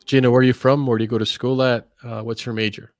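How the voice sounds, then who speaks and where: A middle-aged man hosting an online panel discussion, leading in a relaxed way with many fillers. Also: mid-range voice, fast talker, no room sound, studio quality. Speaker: host.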